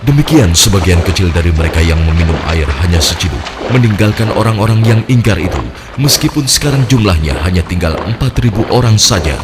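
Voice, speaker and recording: A man with a deep voice narrates a cartoon story from a script. Loud music plays behind his narration. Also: lively intonation, fairly quick.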